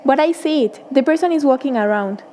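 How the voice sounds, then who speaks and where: A frightened, feverish wife acted by a woman in a gothic radio drama. Her voice is high yet mellow, and she speaks with fearful insistence. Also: brisk, a little hiss or hum, lightly acted. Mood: frightened, pleading. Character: feverish, frightened young wife who hears phantom voices.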